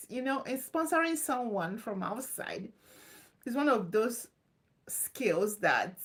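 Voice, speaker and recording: Woman, live Q&A host, casual and reassuring, fairly high voice. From Nigeria. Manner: chatty, loose and repetitive, reassuring. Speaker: live stream host answering visa questions.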